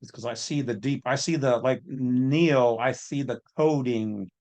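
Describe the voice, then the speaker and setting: A man's voice: mid-range voice, unhurried, a little mellow. A man delivering informal, off-the-cuff commentary as a channel host, with a colloquial, opinionated way of talking.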